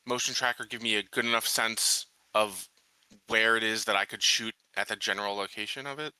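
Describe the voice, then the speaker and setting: A man's voice: lowish voice, fairly even intonation, a little fast, bright, forceful tone, clean tone, dry recording, rough recording. A man playing a tabletop roleplaying game, casually thinking aloud as he describes what his character does.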